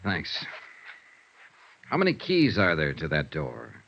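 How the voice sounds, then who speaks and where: Hardboiled detective, American, low-pitched, dry and skeptical, wide-swinging intonation, old dull radio recording. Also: male voice, huge pitch swings, takes it slowly, vocal fry, full character voice. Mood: cool, probing. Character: cool, skeptical, hardboiled male insurance investigator. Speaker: actor in a radio detective serial. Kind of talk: 1950s radio noir acting and first-person narration. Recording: dull vintage radio recording, music behind.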